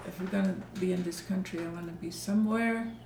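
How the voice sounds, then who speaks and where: A woman's voice: on the low side, steady tone, fast talker, creaky, a little mellow, roomy sound, a little hiss or hum. A woman, a guest artist telling her story unhurriedly in casual conversation at her home. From Netherlands. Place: home living room.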